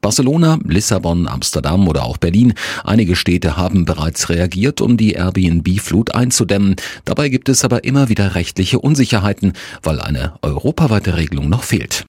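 A man's voice: low voice, sing-song, moderate pace, darkish tone, breathy edge, dry recording, clean studio sound. A man delivering a news report as a correspondent.

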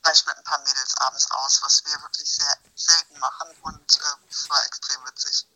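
A woman in her thirties with a lowish but very bright, sharp-edged voice. She chats casually as a podcast guest and laughs her way through lively anecdotes. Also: moderate pace, low-quality recording. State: busy mother with young children. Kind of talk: casual chat.

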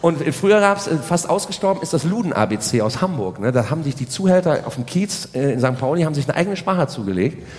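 A man's voice: fairly high pitch, animated, fast talker, few pauses, darkish tone. A man performing a spoken stage comedy monologue, rambling and repeatedly checking for agreement with short tag questions. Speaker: stand-up comedian. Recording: reverberant hall sound.

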